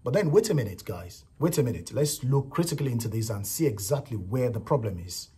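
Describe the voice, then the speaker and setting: A man's voice: medium pitch, animated, a little fast, warm tone, husky. A man with a Nigerian accent giving casual spoken commentary on a viral clip, emphatic and repetitive, often adding "you know" and "right". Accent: Nigerian English.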